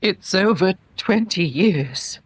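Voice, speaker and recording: Husky, sorrowful older mother. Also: mid-range voice, animated. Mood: pained, regretful. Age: middle-aged or older. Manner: halting, subdued, defensive. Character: reluctant, sorrowful older mother guarding a secret. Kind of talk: British radio crime drama acting.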